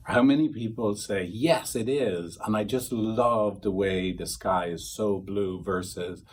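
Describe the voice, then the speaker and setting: A man's voice: on the low side, unhurried, breathy edge. A man training couples therapists, talking casually to a small group, rambling and acting out quoted dialogue.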